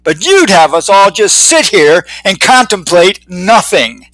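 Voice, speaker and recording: A man's voice: high-pitched, very animated, measured pace, a bit bright, no echo, heavily acted. A man voicing a pompous, know-it-all male fly who lectures and sneers. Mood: lecturing.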